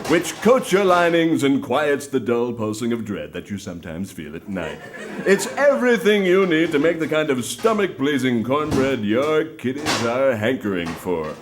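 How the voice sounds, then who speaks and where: Man playing a swooping, folksy old-time radio pitchman. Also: big pitch swings, moderate pace, music in the background, lightly acted. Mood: folksy salesmanship. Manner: folksy, theatrical salesmanship. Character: folksy old-time variety show sponsor pitchman, hammy and eager. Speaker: show announcer and pitchman. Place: live theatre stage.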